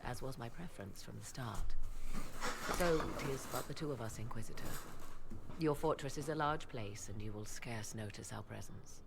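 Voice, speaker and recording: Casting: proud sorceress, gravelly edge, archaic formal diction, fantasy game drama, faint noise behind. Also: female voice, rumbling low. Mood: composed, guarded. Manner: formal, archaic, measured, haughty.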